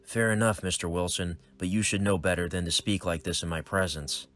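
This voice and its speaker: A man voicing a cold, menacing older crime boss who speaks slowly and quietly. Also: low voice, soft background music.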